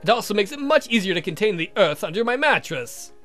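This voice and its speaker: A man's voice: high-pitched, very animated, fast talker, fairly bright tone, soft background music. A man voicing a grumpy, foul-mouthed vampire count who rants in weary exasperation, for a visual novel game. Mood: defensive. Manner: exasperated, ranting.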